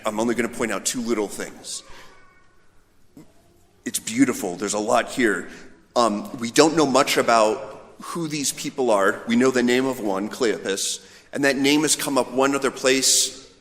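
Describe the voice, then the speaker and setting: A man's voice: medium pitch, a little slow, fairly bright tone. A male priest giving an informal, conversational homily in a storytelling manner. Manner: storytelling, voicing imagined dialogue. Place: large reverberant church. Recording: echoey hall with long reverb.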